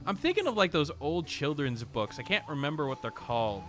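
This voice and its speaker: A man's voice: fairly high pitch, sing-song, brisk, fairly bright tone, soft background music. A man giving casual, off-the-cuff gameplay commentary, rambling and interrupting himself as he thinks aloud. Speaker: gaming video host. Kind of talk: casual off-the-cuff let's play commentary, reading game text aloud.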